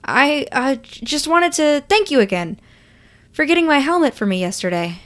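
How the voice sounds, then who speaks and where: A woman's voice: sits high, very animated, moderate pace, fairly bright tone, pitched above the performer's own voice. A woman playing a friendly, awkward and nervous roller rink worker who speaks in an earnest, hesitant way.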